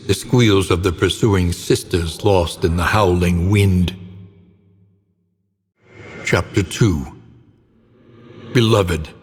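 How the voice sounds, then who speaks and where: An actor playing a grave, brooding older narrator of gothic horror tales in a video game, with solemn, theatrical and deliberate phrasing. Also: male voice, sits low, heavily acted. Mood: grave, ominous. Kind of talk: dramatic scripted storytelling narration. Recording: long reverb, clear background noise.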